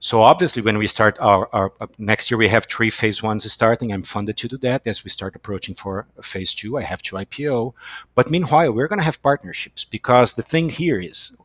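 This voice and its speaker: A man with a Brazilian accent, a company chief executive answering as a podcast guest in a halting, emphatic, repeating way. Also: on the low side, fairly bright tone, no echo. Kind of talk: unscripted podcast interview.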